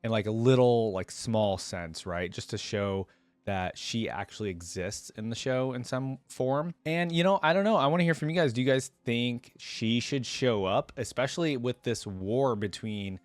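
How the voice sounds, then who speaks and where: A man's voice: medium pitch, moderate pace, no room sound. A man reacting and reading stories aloud as a video creator, with informal, chatty asides between the passages. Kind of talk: casual reaction commentary and read-aloud.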